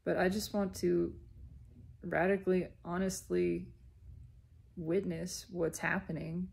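A woman giving an unscripted, reflective spiritual monologue in deliberate, halting phrases with emphatic repetition. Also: fairly low pitch, level tone, creaky, a little mellow. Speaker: speaker sharing personal spiritual reflections.

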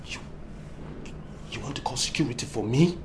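An actor playing a frustrated young husband and son who pleads and breaks into angry outbursts. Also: male voice, medium pitch, expressive, moderate pace, a little mellow, slight background noise, natural voice. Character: frustrated young family man. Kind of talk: film drama acting.

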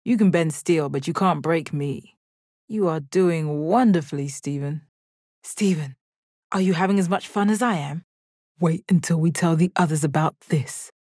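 Video game role: stoic alien gem warrior woman. Lowish register, deadpan, confident, kind, dry close recording. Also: breathy edge, no room sound. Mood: encouraging, confident. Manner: measured, deadpan, formal phrasing. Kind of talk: video game character voice lines.

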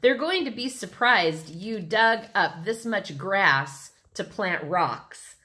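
A woman voicing a silly, excitable little boy in a children's storybook. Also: mid-range voice, slow pace. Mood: cheeky, eager.

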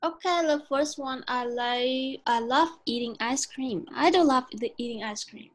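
Slow-speaking Mandarin-native young woman. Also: sits high. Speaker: guest teacher. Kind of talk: casual self-introduction to a class.

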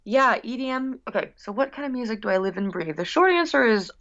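A woman's voice: a little high, lively intonation, fast, fairly bright tone, lo-fi sound. A woman guest chatting casually in an interview about her work as a musician, rambling expressively with frequent asides.

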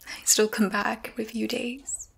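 Woman voicing a wry lovesick young vampire, whispers breathy in a flat tone, for an ASMR roleplay. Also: fairly high pitch, moderate pace, exaggerated voice. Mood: wistful. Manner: soft whispering, dry teasing.

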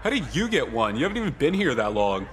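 A man's voice: mid-range voice, sing-song, fast talker, natural voice. A man voicing a crude, cocky teenage slacker in a visual novel game, slangy and boastful. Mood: pleading.

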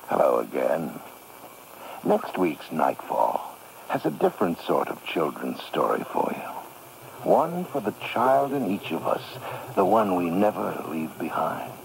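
An actor playing a sinister, wry host, theatrically narrating a radio horror anthology. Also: male voice, deep voice, big pitch swings, measured pace, vocal fry, exaggerated voice. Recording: slightly noisy AM radio cassette.

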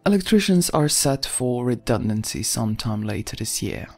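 A bureaucratic consul speaks in an even, polite monotone of official calm, voiced by a woman reading a story. The voice is husky and sits a little back from the microphone. Faint music plays beneath the speech. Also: a bass voice, normal speed, exaggerated voice. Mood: detached. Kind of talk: audio story acting.

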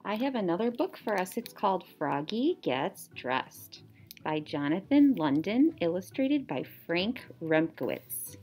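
A young woman reading a children's story like a teacher, animated, with playful sound words. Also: fairly low pitch, measured pace, soft background music. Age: young adult. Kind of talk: children's story read-aloud. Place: small indoor classroom or office.

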